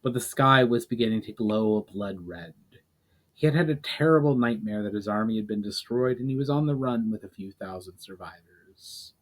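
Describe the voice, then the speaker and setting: A man's voice: lowish voice, animated, moderate pace, poor audio. A man reading a fantasy story aloud as a dramatic narration. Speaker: author reading own fiction aloud.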